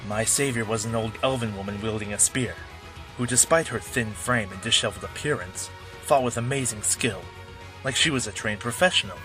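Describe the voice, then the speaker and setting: A man's voice: lowish voice, a little fast, close to an everyday voice, low-quality recording. A man playing a sardonic wolf-man drifter and former warrior, narrating and acting casually in an audio drama. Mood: wry narration. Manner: wry, casual. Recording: music behind.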